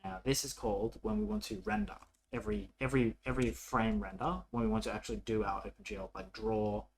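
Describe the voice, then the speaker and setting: A man presenting a programming tutorial in a casual, unscripted way, haltingly thinking aloud as he works. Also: fairly low pitch, expressive, creaky, a little hiss or hum. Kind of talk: casual coding walkthrough, unscripted.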